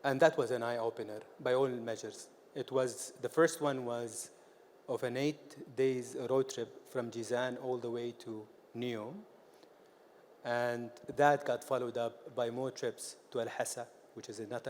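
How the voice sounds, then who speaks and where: A man's voice: mid-range voice, steady tone, measured pace, slight background noise. A man from Saudi Arabia on a conference panel, telling a personal story with some hesitation. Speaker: property company chairman.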